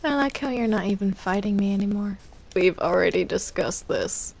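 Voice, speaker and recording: A woman improvising roleplay while playing a video game, speaking in a hesitant, halting way. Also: mid-range voice, gravelly, a little mellow. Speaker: Minecraft roleplay player.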